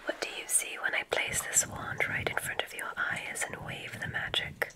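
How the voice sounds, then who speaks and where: Whispering woman in witchy ASMR roleplay. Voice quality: breathy unvoiced whisper. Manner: gentle, drawn-out, effusively complimentary. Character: gracious, welcoming witch dean of a magic school.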